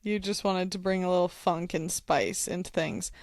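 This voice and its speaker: A woman from Wyoming co-hosting a podcast in casual chat, calm, reflective and encouraging. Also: fairly low pitch, normal speed, no echo, clean studio sound. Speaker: podcast co-host.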